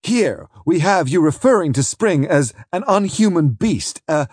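A man's voice: fairly high pitch, very animated, measured pace, a little mellow, husky, no echo, clean studio sound, pitched above the performer's own voice, heavily acted. A man doing an accusing, pressing counsel. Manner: insistent, accusing questioning. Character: hostile, pressing male prosecuting counsel.

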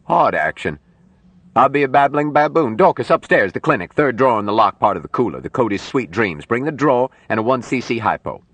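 A man voicing a gruff, sardonic old doctor who gives curt orders and dry wisecracks in an audiobook. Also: sits low, animated, normal speed, a bit bright, no echo. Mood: brisk, dry.

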